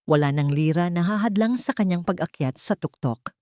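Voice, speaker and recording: A woman's voice: fairly low pitch, expressive, normal speed, mellow. A woman reading a scripted romance story in a dramatic storytelling style.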